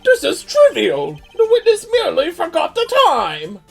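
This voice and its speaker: A woman voicing a haughty, pompous courtroom prosecutor with a raspy, creaky voice in a fan-dubbed game. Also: very high voice, huge pitch swings, moderate pace, soft background music, full character voice. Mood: dismissive. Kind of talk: fan-dub game voice acting.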